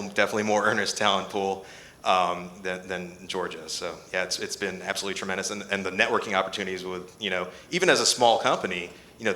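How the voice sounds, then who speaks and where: A man's voice: deep voice, bright, forceful tone. A man, founder of a small company, answering enthusiastically on a conference panel with laughs between phrases.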